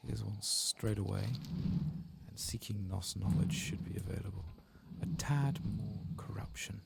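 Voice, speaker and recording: A man giving soft-spoken ASMR game commentary in a hushed, creaky voice, often slipping into whispers. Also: lowish voice, fairly even intonation, measured pace, a little hiss or hum. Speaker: gaming video host.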